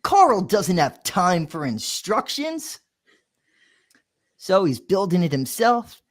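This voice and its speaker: A man's voice: sits high, very animated, slow pace. A man, a children's author, reading his picture book aloud playfully, with lots of sound effects.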